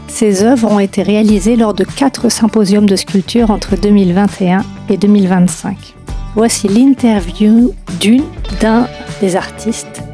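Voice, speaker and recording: A woman presenter introduces the interview, then reads a French interpretation of each answer in a measured way. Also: medium pitch, mellow. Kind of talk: scripted radio intro and French voice-over interpretation.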